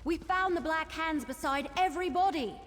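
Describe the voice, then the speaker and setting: A woman's voice: very high-pitched, normal speed, fairly bright tone, slight background noise. A woman voicing an eager, curious young female elven adventurer in a video game, with a chatty, inquisitive way of talking. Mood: urgent. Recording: reverberant cave ambience, game sound effects behind.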